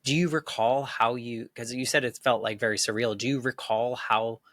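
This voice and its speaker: A man hosting a podcast, asking gentle, hesitant, empathetic questions. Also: medium pitch, fairly even intonation, moderate pace, dry recording, studio quality. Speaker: podcast host. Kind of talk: interview conversation.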